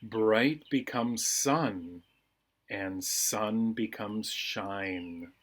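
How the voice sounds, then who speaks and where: A man hosting a storytime, reading bedtime stories to children with unhurried, deliberate phrasing. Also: fairly low pitch. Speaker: library storytime host.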